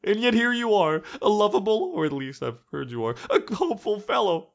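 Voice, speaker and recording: A man's voice: sits high, big pitch swings, a little fast, vocal fry, dull audio, exaggerated voice. A man playing a gleefully menacing young tyrant president in an audio drama, sarcastic and mock-friendly. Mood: mock delight.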